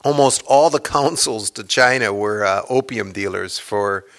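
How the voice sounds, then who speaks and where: A man speaks to an audience at a book talk, his voice bright and forceful. He rambles and restarts often, piling on "uh"s between points. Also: on the low side, measured pace. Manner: rambling, many fillers and restarts. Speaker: author speaking at a book event. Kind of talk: casual lecture talk with audience Q&A.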